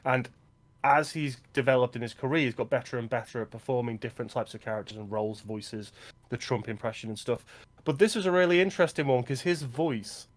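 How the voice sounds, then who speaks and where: A man's voice: mid-range voice, steady tone, fairly quick. A man co-hosting a film podcast, talking with enthusiasm and stammering on his restarts. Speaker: podcast co-host. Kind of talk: casual film discussion.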